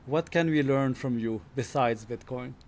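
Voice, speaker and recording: A man hosting a podcast, welcoming his guest and asking friendly, measured questions. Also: mid-range voice, heavy background noise. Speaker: podcast host. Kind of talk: interview hosting.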